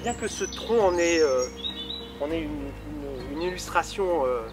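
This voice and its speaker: A man's voice: on the high side, animated, a little slow, music in the background. A man, giving an informal testimonial as a volunteer event organiser, hesitantly searching for his words. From Paris, France. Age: 60s.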